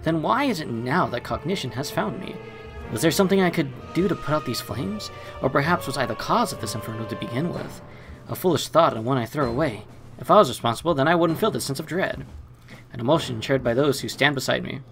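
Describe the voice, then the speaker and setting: A man's voice: mid-range voice, expressive, brisk, over music. A man hosting a let's play, mixing casual game commentary with reading story text aloud.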